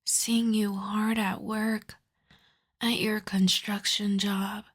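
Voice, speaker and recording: A woman voicing a seductive, lovestruck vampire in a soft, breathy, intimate ASMR whisper, coaxing and doting. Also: mid-range voice, flat delivery, takes it slowly. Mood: lovestruck confiding.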